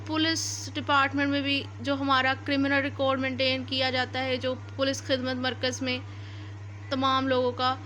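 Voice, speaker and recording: Female lecturer, Pakistani accent, high voice, teacherly explaining with repeated key points, slight noise behind. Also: completely flat delivery, normal speed, pushed and bright. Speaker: law lecturer. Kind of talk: explanatory lecture, reading clauses then explaining. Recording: faint background noise.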